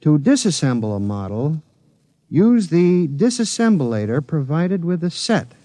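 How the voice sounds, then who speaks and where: An American actor playing a flustered, lovelorn lawyer with a hesitant, distracted manner in a 1950s radio drama. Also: male voice, fairly high pitch, sing-song, a little slow, dark tone, no echo. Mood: reading intently.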